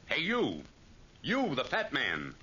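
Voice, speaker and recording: An actor playing a gruff, commanding police chief who speaks brusquely, in 1940s radio drama style. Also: male voice, medium pitch, huge pitch swings, slow, sharp tone, exaggerated voice. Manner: brusque, barking orders. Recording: muffled, hissy 1940s radio recording.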